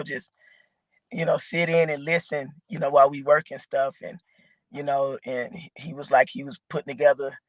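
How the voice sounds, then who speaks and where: A woman from Georgia with a raspy voice, a guest rapper rambling casually with lots of fillers. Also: low-pitched, no room sound, low-quality recording. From Augusta, Georgia, raised in Atlanta. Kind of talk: casual interview chat.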